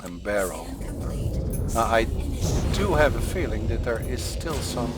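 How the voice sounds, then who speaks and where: A man with a Dutch accent, a native Dutch speaker, explains calmly and step by step as he plays through a game tutorial. His voice sits at a middling pitch. Game music plays steadily underneath. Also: unhurried. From Netherlands. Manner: calm, explaining step by step while playing. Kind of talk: gameplay tutorial commentary. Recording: voice over game sound and music.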